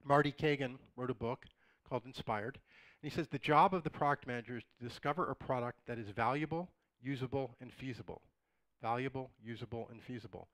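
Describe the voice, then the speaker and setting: A middle-aged man with a slightly raspy voice, giving a casual conference talk with joking asides to the audience. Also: medium pitch, dry recording, rough recording. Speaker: conference speaker. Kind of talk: conversational lecture. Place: dark auditorium, in front of a projected slide.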